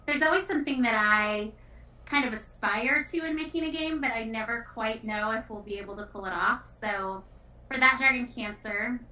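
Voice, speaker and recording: A woman's voice: fairly high pitch, moderate pace, pushed and bright, slight background noise. A female game developer speaking earnestly and reflectively in an interview. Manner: earnest, thoughtful. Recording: roomy, distant mic.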